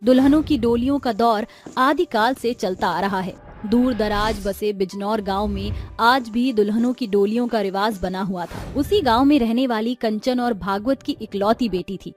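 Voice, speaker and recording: High-pitched woman storyteller over music. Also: moderate pace, darkish tone. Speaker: narrator. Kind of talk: scripted storybook narration.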